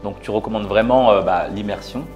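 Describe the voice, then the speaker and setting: A man's voice: fairly low pitch, a little fast, music in the background. A man hosting a casual interview, asking questions and adding brief agreeing interjections. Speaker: interviewer, host.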